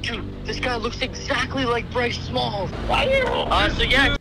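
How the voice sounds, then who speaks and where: High-voiced young man outdoors. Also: slow, creaky, over loud music. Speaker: vlog co-host. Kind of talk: casual chat on the street. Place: outdoors on a city sidewalk.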